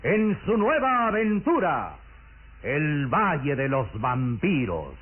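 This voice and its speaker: A man's voice: a little high, very animated, takes it slowly. A man announcing an old radio serial in a grand, declamatory manner. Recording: tinny old radio recording, very loud music.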